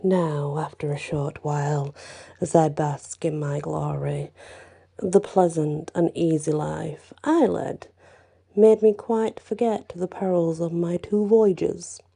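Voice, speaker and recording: Woman, folktale reader, gravelly, slow, whispery asides. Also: lowish voice. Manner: slow, hushed, with whispered passages and casual asides. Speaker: storyteller. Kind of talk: reading a folktale aloud in first person.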